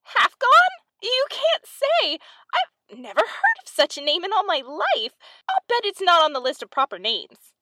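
A woman's voice: very high voice, sing-song, measured pace, sharp tone, no room sound, pitched above the performer's own voice, full character voice. A woman voicing a naive, kindly little female mouse in a storybook tale, exclaiming and asking wide-eyed questions. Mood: astonished.

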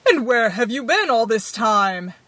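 A woman's voice: fairly high pitch, very animated, unhurried, fairly bright tone, no room sound, exaggerated voice. A woman voicing a protective, loving father in a fairy tale, earnest and emphatic. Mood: relieved.

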